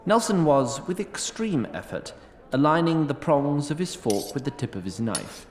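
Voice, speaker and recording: A man's voice: on the high side, very animated, normal speed, darkish tone. A man reading scripted fantasy narration with a wry, literary, storytelling manner. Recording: roomy sound, faint background noise.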